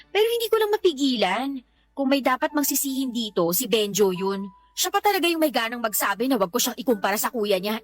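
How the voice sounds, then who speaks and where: A woman's voice: on the high side, fairly bright tone. A Filipino woman acting in a radio drama as a fed-up young mother, complaining in an exasperated way. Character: fed-up young mother venting about her partner. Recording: background music under the voice.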